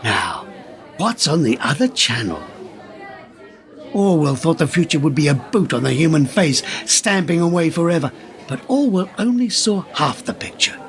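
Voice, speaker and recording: A man's voice: a little high, big pitch swings, moderate pace. A man acting a cynical, smugly superior government conspirator in a radio play, speechifying in a mocking, theatrical way. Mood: coldly cynical.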